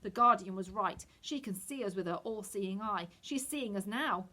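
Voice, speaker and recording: A woman's voice: mid-range voice, normal speed, sharp tone. A woman voicing a frightened but sensible young girl in a storybook, earnest and anxious.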